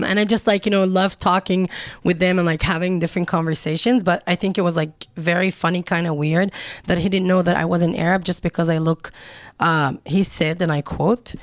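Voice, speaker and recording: Relaxed low-voiced woman in her twenties. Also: no room sound. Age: 20s. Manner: relaxed, thoughtful, frequent "um" and "like". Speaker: podcast co-host. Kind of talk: casual chat.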